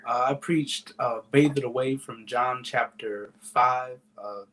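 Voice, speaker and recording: A man's voice: medium pitch, takes it slowly, fairly bright tone, poor audio. Man, 20s, young pastor, hesitant, searching for words, video call. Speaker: pastor and panel guest.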